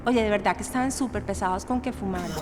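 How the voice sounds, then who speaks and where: An actress playing a protective, concerned young witness in an audio drama, talking in an earnest, conversational way. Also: female voice, medium pitch, fast talker, a little mellow, soft background music, natural voice. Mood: earnest recalling.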